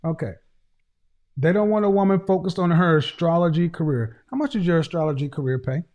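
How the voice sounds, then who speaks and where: A man's voice: on the high side, brisk, darkish tone, dull audio. A middle-aged man hosting a call-in advice show, lecturing a caller in a harsh, repetitive way over a video call. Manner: harsh, lecturing, repeats phrases. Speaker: host.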